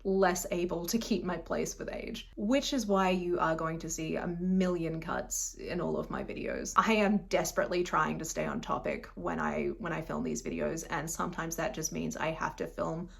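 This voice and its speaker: A woman's voice: deep voice, moderate pace, gravelly, few pauses. A woman in her thirties with a slightly unusual Australian accent, chatting casually to camera in a rambling, self-deprecating way. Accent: Australian, a bit unusual. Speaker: booktube vlogger. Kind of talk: casual direct-to-camera vlog.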